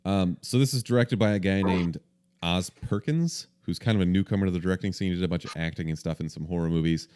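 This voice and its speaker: A young man hosting a casual movie podcast, brisk and a bit sweary, running the segments. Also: low-pitched, darkish tone, clear, resonant tone. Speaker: podcast host.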